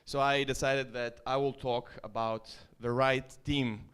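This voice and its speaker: A man with an Estonian accent presenting his startup at an event. His voice sits at a middling pitch and comes across smooth and clear. He speaks loosely and jokes with the crowd, filling pauses with plenty of uhs. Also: level tone, fairly bright tone. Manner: loose, joking, casual with filler ums and uhs. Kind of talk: conference stage talk.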